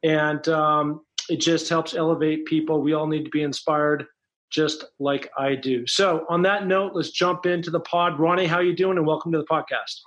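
A man's voice: fairly high pitch, fairly even intonation, normal speed, fairly bright tone. A middle-aged man hosting an interview podcast, talking in a friendly, rambling way. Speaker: podcast host.

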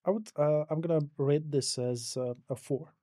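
Mellow-voiced man, dry sound. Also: on the high side, moderate pace, no room sound. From Hungary. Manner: hesitant, many fillers and restarts. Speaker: podcast guest. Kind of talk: casual interview answers.

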